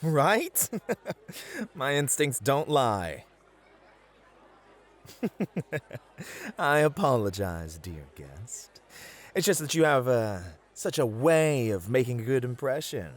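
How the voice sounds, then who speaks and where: A man playing a polite, flirty club host in an ASMR roleplay, courteous and gently teasing, with hesitant pauses. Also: mid-range voice, sing-song. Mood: easygoing, teasing.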